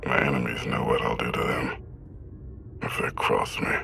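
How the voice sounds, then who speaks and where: A menacing game-villain emperor, extremely low and full of gravel. He speaks with grandiose, cold theatricality and a forceful, sharp edge. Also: monotone, moderate pace, exaggerated voice. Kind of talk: dramatic video game acting.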